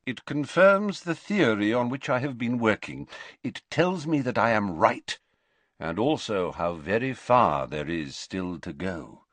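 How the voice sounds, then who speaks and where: A man voicing a calm, grave elderly wizard headmaster in an audiobook, quiet and measured. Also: fairly low pitch, dry recording, heavily acted. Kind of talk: audiobook character voice.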